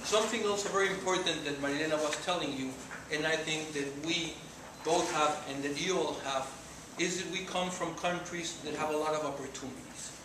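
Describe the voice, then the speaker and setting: Man, Mexican accent, 40s, earnest speaker, fairly high voice, heard from afar. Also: fairly quick, hardly any pauses. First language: Spanish. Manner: earnest, gesturing while speaking. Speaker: guest speaker. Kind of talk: motivational talk to students. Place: lecture room, indoors. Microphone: far camera. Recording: echoey room from far off, noisy.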